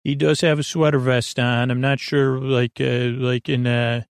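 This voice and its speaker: A man hosting a bedtime podcast in a meandering, halting monologue, trailing off mid-sentence and gently reassuring the listener. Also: mid-range voice, level tone, creaky, clear, resonant tone, dry recording, clean studio sound. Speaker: podcast host.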